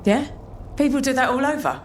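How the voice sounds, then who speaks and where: An actress playing a guarded, dismissive local in a video game, speaking curtly. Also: female voice, high-pitched, fairly quick, a little hiss or hum, lightly acted. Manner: curt. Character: guarded, dismissive local woman, curt and offhand. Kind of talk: video game character acting.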